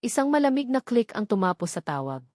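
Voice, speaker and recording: A woman's voice: a little high, big pitch swings, brisk, a little mellow, no room sound, studio quality. A woman with a Filipino accent reads a scripted romance story as a dramatic narrator.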